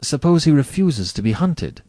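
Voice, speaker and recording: A man's voice: mid-range voice, big pitch swings, a little slow, warm tone, breathy edge, no echo, pitched above the performer's own voice. A man voicing an indignant, righteous male guest in a story, with blunt, heated questions. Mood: wary, questioning. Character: indignant, righteous male hunter guest, challenging his host.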